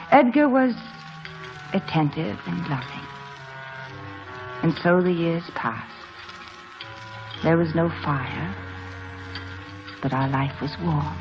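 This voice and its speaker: An actress playing a wistful, passionate young woman in a 1940s radio drama, dramatic and hushed at phrase ends. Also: fairly low pitch, lightly acted. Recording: dull old radio broadcast, music behind.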